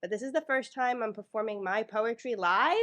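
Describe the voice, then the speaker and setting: A woman's voice: on the high side, fairly quick, fairly bright tone. Nervous woman poet reading live. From New York, United States. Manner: a little nervous, many fillers between poems. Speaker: featured poet. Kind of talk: reading her own poetry live. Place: public library event room. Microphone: microphone in front of a live audience.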